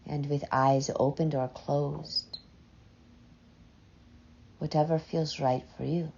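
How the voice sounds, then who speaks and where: A woman leading a guided meditation in a soft, breathy voice, gently and unhurriedly, with long pauses between short phrases. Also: low-pitched, fairly even intonation. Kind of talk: slow, soothing guided meditation. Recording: dull, muffled lo-fi sound.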